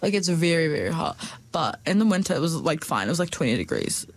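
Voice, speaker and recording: A young woman from Palestine chatting casually as an interview guest, full of "like" fillers and sudden jumps in pitch. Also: sing-song, fast. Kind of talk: casual chat.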